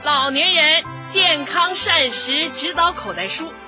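An actress playing a cheerful, devoted older community dance organizer in a radio comedy, exclamatory and folksy. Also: female voice, high voice, animated, takes it slowly. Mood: cheerful, bustling. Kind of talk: light comedy radio drama acting. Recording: dull lo-fi audio, faint music.

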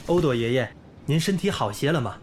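A woman playing a patient, gentle and encouraging village schoolteacher in a radio drama. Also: extremely low pitch, expressive, brisk, a little hiss or hum. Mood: reassuring. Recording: music clearly behind the voice.

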